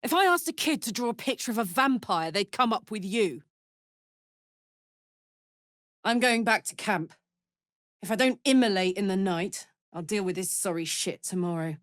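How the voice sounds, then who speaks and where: A woman's voice: medium pitch, expressive, moderate pace, fairly bright tone, no echo, clean studio sound, close to an everyday voice. A woman playing a brash, big-hearted female warrior in a video game, speaking in an earnest, blunt and slangy way. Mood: playful, cheeky.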